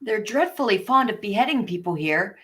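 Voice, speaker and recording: A woman's voice: medium pitch, animated, brisk, fairly bright tone, close to an everyday voice. A woman playing a polite, curious and forthright young girl in a storybook read, speaking earnestly. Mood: indignant.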